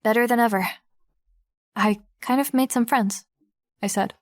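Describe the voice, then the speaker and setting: A woman playing a guarded, observant teenage girl who narrates an audiobook in the first person, reflective and measured. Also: fairly high pitch, steady tone, lightly acted. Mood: hesitant, reassuring. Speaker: narrator and lead character.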